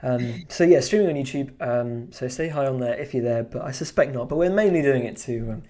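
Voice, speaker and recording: A man with a warm, relaxed tone talks casually on a live stream while he draws. He rambles and restarts sentences often, with plenty of fillers. He chuckles now and then. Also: mid-range voice, brisk. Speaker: streamer and artist.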